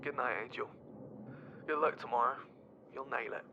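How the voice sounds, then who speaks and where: An actor playing an upbeat, affectionate boyfriend speaking over a call link in an audio drama. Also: male voice, mid-range voice, steady tone, normal speed, vocal fry. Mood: tender. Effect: voice over a radio-phone link. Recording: dull lo-fi call link with background noise.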